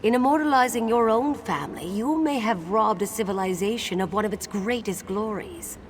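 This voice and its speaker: A woman voicing the wistful spirit of a legendary warrior maiden in a fantasy game, telling her tale in a solemn, measured way. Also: mid-range voice, slight background noise, lightly acted. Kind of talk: scripted fantasy game acting.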